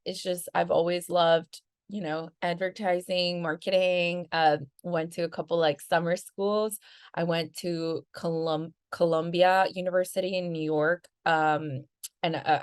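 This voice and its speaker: Bubbly woman with a low voice. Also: clear, resonant tone, no room sound, studio quality. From Venezuela. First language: Spanish. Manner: bubbly, laid-back, says "like" often. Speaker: guest, marketing agency founder. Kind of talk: casual interview chat.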